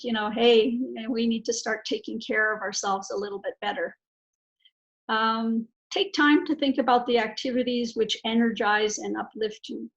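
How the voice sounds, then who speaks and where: A woman presenter talks through practical wellbeing tips in a chatty, meandering way with frequent fillers. Her fairly high voice is gravelly and a little hoarse from allergies. The recording sounds dull and lo-fi. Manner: chatty, warm asides with many fillers. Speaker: mental health professional.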